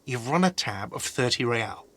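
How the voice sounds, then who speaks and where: An actor playing an exasperated, sarcastic hostel manager in a video game, with an irritated, condescending way of talking. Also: male voice, medium pitch, animated, normal speed, fairly bright tone, dry recording.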